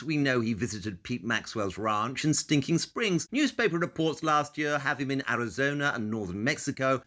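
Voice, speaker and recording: A man's voice: mid-range voice, pushed and bright. An actor playing a brilliant, eccentric male detective who lectures in a precise, deductive manner.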